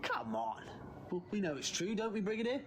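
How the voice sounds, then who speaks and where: A man's voice: high-pitched, very animated, a little fast, background noise. A man with a British accent playing a wry, confident time traveler in a sci-fi audio drama, theatrical and flippant. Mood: playful. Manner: flippant, theatrical, swinging from playful to grave.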